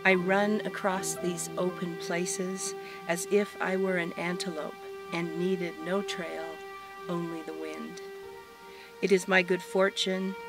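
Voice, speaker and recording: Older woman, lowish voice, distant mic. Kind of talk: reflective interview remarks and poetic recitation. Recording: distant microphone under loud music. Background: loud music.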